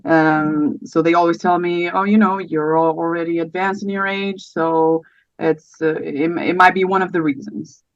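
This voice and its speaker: A woman in her thirties from Portugal with a Portuguese accent, telling her personal story as a podcast guest in a soft, hesitant way with many fillers. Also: on the low side, fairly even intonation, moderate pace, dry recording, lo-fi sound. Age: 30s.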